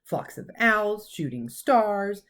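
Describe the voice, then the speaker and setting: A woman's voice: medium pitch, big pitch swings, slow, a bit bright, pitched above the performer's own voice. Lady doing a strict older witch teacher in a kids book, indignant, stresses her words, bit anxious. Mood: irritable, impatient. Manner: emphatic, indignant.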